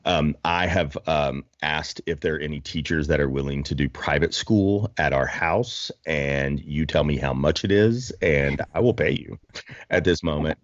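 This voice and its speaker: A man's voice: very deep voice, normal speed, no room sound, highs cut off. A man chatting casually on a podcast, rambling and self-deprecating with jokes. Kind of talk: casual chat.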